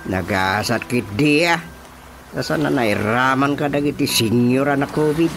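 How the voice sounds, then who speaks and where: An actor with a Filipino accent playing a gruff, bossy village man in a radio comedy, emphatic and scolding. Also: medium pitch, unhurried, a bit bright, faint music behind. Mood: grumbling. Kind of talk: Ilocano radio drama comedy acting.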